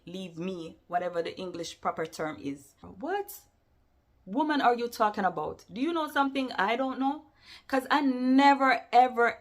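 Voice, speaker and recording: Jamaican-New York woman, emphatic vlogger. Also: medium pitch. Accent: Jamaican mixed with New York. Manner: animated, emphatic, addresses viewers directly. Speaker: YouTuber answering viewer questions. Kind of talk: casual Q&A vlog.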